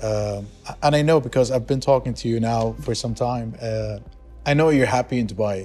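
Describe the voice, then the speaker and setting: A man's voice: mid-range voice, fairly quick, a little mellow, faint music behind. A man interviewing someone in a conversational, agreeable way, prompting with questions and comments.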